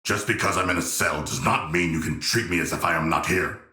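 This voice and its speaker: An actor voicing a hulking humanoid shark villain in a video game, erudite and gentle yet proud, speaking in formal, measured, deadpan phrases. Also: male voice, deep voice, reverberant. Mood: dry, aloof. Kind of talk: video game character voice lines.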